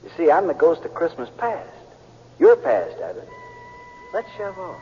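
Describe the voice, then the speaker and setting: A man's voice: fairly high pitch, wildly swooping pitch, moderate pace. A man playing a breezy young cowboy ghost in an old-time radio drama, folksy and casual. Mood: breezy, beckoning. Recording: muffled old radio, long ghostly reverb.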